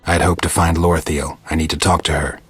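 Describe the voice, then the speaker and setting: An actor playing a gruff, stoic monster hunter in a video game, terse and deadpan with a raspy voice. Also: male voice, extremely low pitch, brisk, no echo, soft background music, heavily acted. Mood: stoic, dry. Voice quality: gravelly, raspy. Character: gruff, stoic male monster-hunting witcher, terse and dry.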